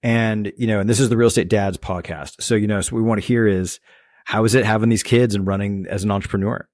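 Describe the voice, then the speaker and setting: A man hosting a podcast, asking his guest quick, conversational questions. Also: fairly low pitch, fairly even intonation, darkish tone, no room sound, studio quality. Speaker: podcast host.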